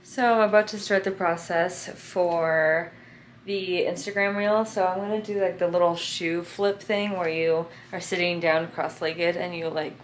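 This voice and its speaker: Young woman vlogger, hoarse and low-pitched, rambling chatty delivery. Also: fairly even intonation, measured pace, a little hiss or hum. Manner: chatty, lots of "like" and "um" fillers. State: raspy morning voice. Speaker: lifestyle vlogger. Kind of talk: casual vlog chat to camera.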